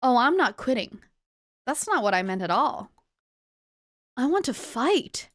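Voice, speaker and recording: A woman's voice: high voice, sing-song, measured pace, no echo, clean studio sound. A woman playing an ambitious young arcade attendant in a visual novel, earnest and declamatory. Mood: defiant. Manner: theatrical, declamatory.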